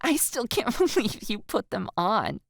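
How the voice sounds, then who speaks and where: A woman's voice: medium pitch, wildly swooping pitch, measured pace, gravelly, slight background noise, pitched above the performer's own voice, exaggerated voice. A woman voicing an amused, teasing teenage schoolgirl in a dramatized audio story, gasping and breaking into giggles as she talks. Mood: hysterical amusement.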